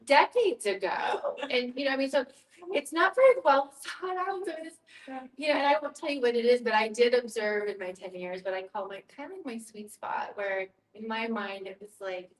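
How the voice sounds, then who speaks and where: A woman's voice: on the high side, normal speed. A woman telling anecdotes in a group discussion, candid and conversational, often in short punchy sentences. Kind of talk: animated anecdotal group talk. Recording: echoey room, distant mic.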